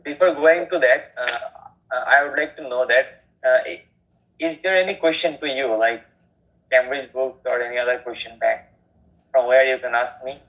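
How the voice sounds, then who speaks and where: A man from Bangladesh joins a speaking practice and speaks politely, sometimes in quick bursts. His voice is mid-pitched. It comes through a narrow, phone-like line. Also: fairly even intonation. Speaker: language learner. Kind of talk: practice conversation.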